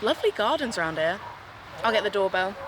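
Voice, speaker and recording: A woman's voice: medium pitch, big pitch swings, fast, natural voice. A woman playing a polite, friendly podcast investigator in audio drama dialogue. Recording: noisy background ambience.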